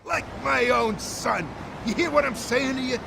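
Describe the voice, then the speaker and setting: A high, very gravelly mafia boss in a crime game cutscene. He is fatherly and swears freely, with clear noise behind him. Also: normal speed, exaggerated voice. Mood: proud, moved. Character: grateful male mafia boss, fatherly, foul-mouthed.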